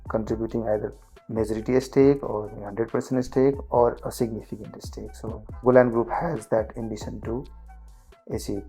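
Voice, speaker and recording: A middle-aged man from Nepal, a company finance chief, answering interview questions in a measured way with frequent restarts. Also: fairly low pitch, creaky, mellow, husky. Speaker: guest, group CFO.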